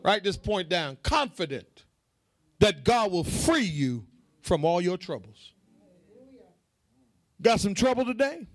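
A man preaching a fervent sermon to a congregation, emphatic and repetitive, calling for response and rising into shouted, half-sung phrases. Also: high-pitched, very animated, takes it slowly, pauses often, no echo.

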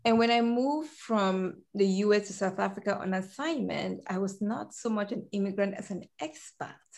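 Lively woman from Cameroon telling her story. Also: mid-range voice, normal speed, vocal fry. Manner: animated storyteller who laughs easily. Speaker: guest, compliance director. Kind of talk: conversational interview.

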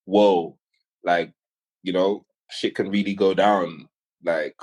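A man's voice: low voice, steady tone, slow, a little mellow. A man speaking casually as an interview guest, slangy and exclamatory, voicing his own thoughts aloud. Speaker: interview guest, music artist. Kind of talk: casual chat.

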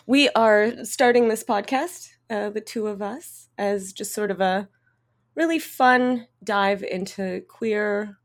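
A woman's voice: mid-range voice, slow pace. A woman in her thirties with an English Canadian accent, hosting a casual podcast chat, giggling often and trailing through fillers and restarts. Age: 30s. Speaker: podcast host.

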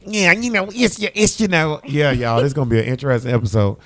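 A man, hosting a podcast and teasing his guests with loud laughter. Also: on the high side, fast. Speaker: podcast host. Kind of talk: casual podcast banter.

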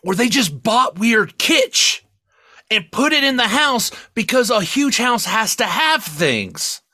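An excitable man with a high voice that swings widely as he talks. He rambles emphatically through a casual, sweary review chat, sounding bright and close in a dry recording. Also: takes it slowly, no room sound. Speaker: podcast co-host.

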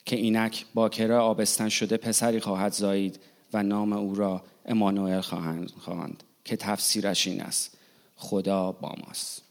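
A man reading a passage of scripture aloud at a steady pace. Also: on the low side, rapid-fire.

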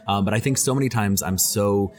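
A man's voice: on the low side, fairly quick. A young adult man, a guest on a podcast, answering questions thoughtfully and often repeating words as he thinks. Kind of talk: casual interview answers.

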